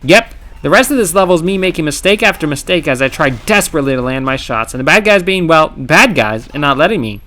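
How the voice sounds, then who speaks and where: A man narrating his own gameplay challenge in scripted voice-over, wry and sarcastic with playful dramatic pauses. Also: a little high, lively intonation, pushed and bright. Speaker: gaming channel host. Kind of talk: scripted comedic game commentary narration.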